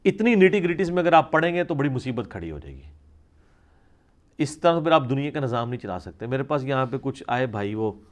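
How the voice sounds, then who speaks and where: A man's voice: medium pitch, very animated, brisk. A man answers religious questions in an informal, explanatory lecture, reasoning conversationally as a scholar. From South Asia.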